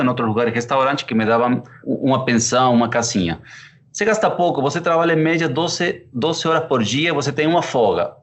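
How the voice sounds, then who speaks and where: Man, Argentine accent, 30s, chef, relaxed thoughtful speech, lots of fillers. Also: fairly high pitch. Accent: Argentine Spanish. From Córdoba, Argentina. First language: Spanish. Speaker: chef and pizzeria partner. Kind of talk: interview answers.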